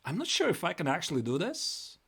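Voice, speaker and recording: A man's voice: on the high side, huge pitch swings, fairly quick, vocal fry, fairly bright tone, slightly rough. A man with a Latvian accent chatting casually on a live chess stream, repeating and restarting his words.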